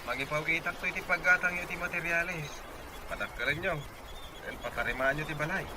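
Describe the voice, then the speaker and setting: A man's voice: fairly high pitch, fairly quick, natural voice. A man playing an affectionate, dutiful young sweetheart and son in an Ilocano audio drama. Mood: earnest. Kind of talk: Ilocano radio-style drama acting. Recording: clearly noisy background.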